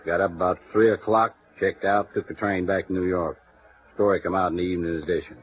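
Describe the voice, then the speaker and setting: Actor playing a bluff, deep-voiced small-town editor. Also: male voice, fairly even intonation, a little fast, lightly acted. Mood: gruff. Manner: gruff, folksy, blunt. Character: bluff, red-faced male small-town newspaper editor. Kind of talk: 1950s radio drama acting. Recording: muffled vintage radio broadcast with faint hiss.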